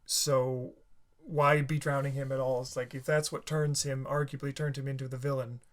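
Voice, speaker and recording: A tired man with a slightly sore throat, talking through a reaction video in a halting, rambling way with many restarts. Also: medium pitch, flat delivery. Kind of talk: casual rambling commentary.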